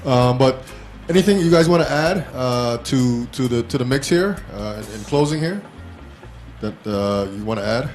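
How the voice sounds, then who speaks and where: A man's voice: medium pitch, expressive, normal speed, darkish tone, soft background music. A man hosting a casual, informal talk show interview in a self-deprecating way.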